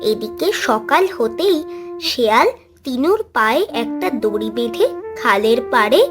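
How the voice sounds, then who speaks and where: A woman's voice: on the high side, lively intonation, a little slow. A woman reading scripted storybook narration for a cartoon. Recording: music behind the voice.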